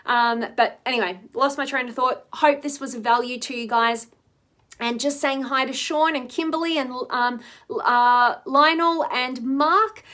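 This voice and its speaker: A woman, slightly hoarse, hosting a live stream where she reflects on relationships, greets viewers and often ends her points with "right?". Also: high-pitched, unhurried, sharp tone. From Australia. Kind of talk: live-stream talk reading viewer comments.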